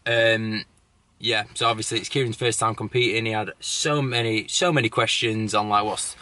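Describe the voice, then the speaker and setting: A man's voice: on the low side, steady tone, moderate pace. A man in his twenties from the UK, chatting casually to a handheld camera as a fitness vlogger, laid-back and swearing now and then. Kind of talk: casual vlog chat to camera. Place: large gym, indoors, then parked car at night. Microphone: handheld camera at arm's length. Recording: echoey gym with loud music.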